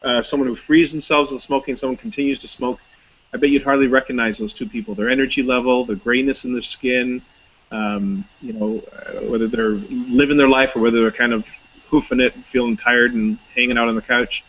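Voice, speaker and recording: A man's voice: medium pitch, steady tone, a little fast, fairly bright tone, dull audio. A man talking casually as an interview guest, chatty and anecdotal, with many fillers and restarts. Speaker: hypnotist, guest.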